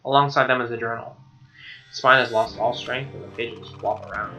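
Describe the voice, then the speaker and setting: Guy streaming a visual novel, reading it out casually, bit far from mic, music clearly behind. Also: medium pitch, fairly even intonation, brisk, bright, forceful tone. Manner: conversational, stumbles over read lines. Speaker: let's play streamer reading game narration. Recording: muffled, distant mic. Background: guitar music.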